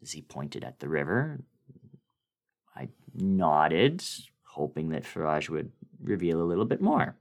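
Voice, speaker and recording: A man narrating a first-person horror story as a dramatic reading, with theatrical, expressive delivery. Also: low voice, unhurried, creaky.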